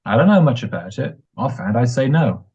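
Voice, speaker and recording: A man playing a calm, blunt, reassuring ex-soldier friend in a radio drama, with a husky voice. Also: medium pitch, brisk, highs cut off. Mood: wry, patient. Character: steady, reassuring ex-soldier friend, practical and wry. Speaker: amateur radio drama actor.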